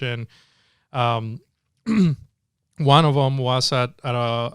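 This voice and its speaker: A man's voice: medium pitch, fairly bright tone, smooth, dry recording, studio quality. A man in his forties from Venezuela, a tech entrepreneur telling his story in a casual interview, hesitant with many fillers and restarts.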